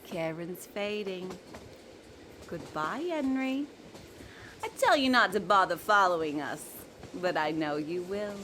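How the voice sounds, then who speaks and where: Smug evil magical creature for audio drama, played by a woman: silky, mocking, very varied pitch, some background noise. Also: lively intonation, slow pace, pauses often. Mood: gloating.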